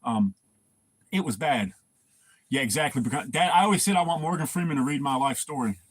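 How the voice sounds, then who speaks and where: A man's voice: fairly high pitch, lively intonation, a little fast, slight background noise. A man hosting a casual livestream, laid-back and swearing, laughing often and greeting viewers by name. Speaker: livestream host.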